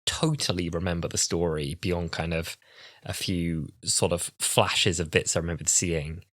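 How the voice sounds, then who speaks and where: A man's voice: sits low, measured pace, a bit bright, no echo, studio quality. A man in his twenties, co-hosting a podcast in casual chat, with a stuffy nose from a cold. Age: 20s. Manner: chatty, laughs often, many fillers. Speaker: podcast co-host.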